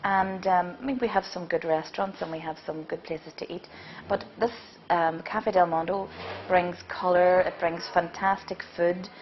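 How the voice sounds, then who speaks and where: A woman's voice: fairly low pitch, fairly even intonation, normal speed, highs cut off, slight background noise. A woman, praising a local cafe with enthusiasm and frequent ums. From Derry. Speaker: interviewee. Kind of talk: documentary interview.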